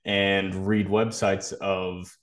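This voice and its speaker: A man with a fairly low voice co-hosts a sports podcast. He gives casual analysis in an analytical way, qualifying his points as he goes. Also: level tone, drawn-out words.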